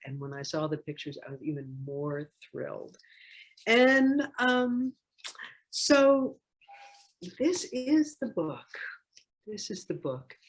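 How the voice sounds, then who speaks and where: An older woman, a children's author, talking personally in a reflective, conversational way and leaning on key words for emphasis. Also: sits low. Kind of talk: personal talk introducing a read-aloud.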